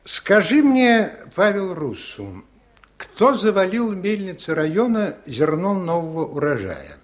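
An actor playing a stern Soviet district boss in a radio play, questioning with authority and sarcasm. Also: male voice, sits high, sing-song, a little slow. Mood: stern, probing.

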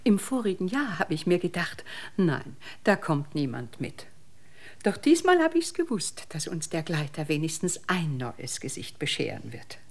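Husky, grand ancient hostess. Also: female voice, low-pitched, huge pitch swings, moderate pace, full character voice. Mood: grand, serene. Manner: lofty, florid, ceremonious. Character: very old, hermit-like lady hostess, serene and grand. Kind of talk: German radio drama acting.

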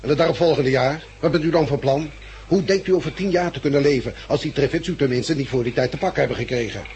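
Actor as a blunt survivor, faintly noisy recording. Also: male voice, on the high side, quick pace, a little mellow, natural voice. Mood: blunt, challenging. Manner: plain-spoken, challenging. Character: blunt, practical, argumentative male survivor. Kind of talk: 1960s radio drama acting. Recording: noisy old 1960s radio recording.

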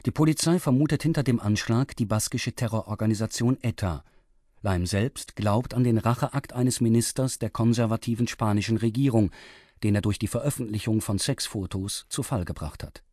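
A man's voice: mid-range voice, dark tone, dry recording, studio quality. A man reading a scripted, factual story recap as a radio announcer, formal and precise. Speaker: announcer summarising the story so far.

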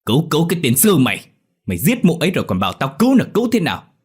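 A man's voice: fairly high pitch, wildly swooping pitch, racing, breathy edge, voice pushed up, heavily acted. A man voicing a violent, hot-tempered father in a story, cursing and accusing explosively. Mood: furious.

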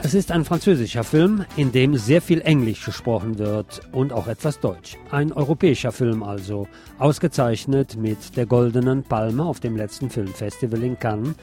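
A man's voice: medium pitch, moderate pace, few pauses, mellow, soft background music. A man delivering a scripted film review as a critic recommending a movie to listeners.

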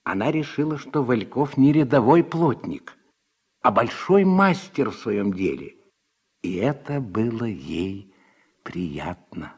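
A man narrating a literary radio drama in a measured, expressive storytelling manner. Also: medium pitch. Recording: husky-sounding old radio recording with music.

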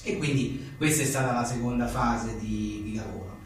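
A man's voice: mid-range voice, steady tone, moderate pace, faint music behind. A man being interviewed as a writer, talking casually in a rambling, self-deprecating and joking way. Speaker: journalist and author being interviewed. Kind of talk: casual live interview about a book. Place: echoey indoor venue at a live book talk. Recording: very echoey room, distant mic, faint noise.

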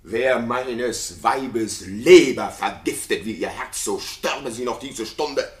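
A man's voice: medium pitch, slow pace, lightly acted. An actor playing a jealous, suspicious king in a classical radio play, declaiming theatrical verse with intensity. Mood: bitter, accusing. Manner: intense, declamatory, swinging from hushed to frenzied. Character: jealous, suspicious king raging at his supposedly unfaithful wife. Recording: roomy studio reverb.

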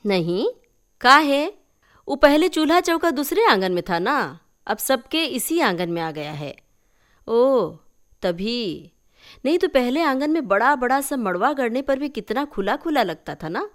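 A woman's voice: sits high, a little slow, pitched above the performer's own voice, full character voice. Woman playing an amused, curious young woman. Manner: expressive, wry.

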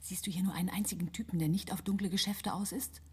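Casting: sardonic smuggler's wife in radio drama; lowish, very husky and breathy. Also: female voice, fairly even intonation, fast talker. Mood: romantic, reproachful. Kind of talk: German radio play acting and narration.

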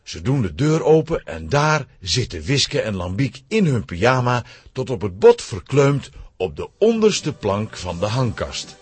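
Expressive male storyteller with husky, animated delivery. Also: mid-range voice, unhurried, no echo. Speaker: narrator. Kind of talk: scripted children's story narration.

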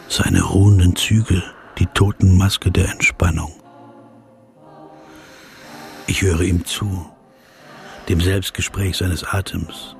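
An actor playing an observant, reflective philosopher narrating a radio play in a measured, introspective way. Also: male voice, deep voice, creaky, exaggerated voice. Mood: hushed wonder.

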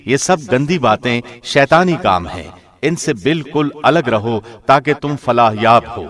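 A man's voice: medium pitch, expressive, fairly bright tone. A man reading a scripture translation aloud in a formal, scripted radio narrator's style. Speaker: translation reader, radio host.

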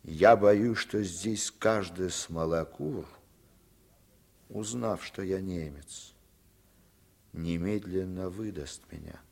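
A hunted German deserter from the legion in a 1950s radio drama, voice low and slow. He tells his escape and begs the boys not to betray him, dropping to hushed whispers. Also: gravelly, exaggerated voice. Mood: pleading.

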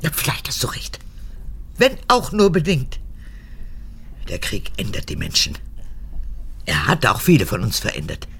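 An actress in a radio drama playing a manipulative, childish old woman in her eighties, an unrepentant former camp guard, with a husky, gravelly voice. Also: very deep voice, huge pitch swings, a little slow, pauses often, a bit bright, slight background noise, exaggerated voice. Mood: defensive. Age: 80s. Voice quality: husky, gravelly, often breaking into whispers. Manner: capricious, swinging between childlike pleading and cold recounting.

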